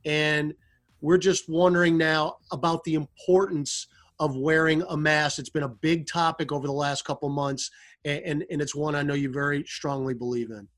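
Higher-voiced man hosting a broadcast interview. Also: steady tone, moderate pace, a bit bright. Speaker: podcast host, sports play-by-play announcer.

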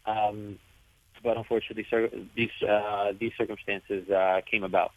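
A young man, a soccer player whose first language is Italian, answering interview questions softly while searching for his words. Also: fairly low pitch, little pitch movement, moderate pace. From United States. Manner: soft-spoken, searching for words. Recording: tinny dry phone line.

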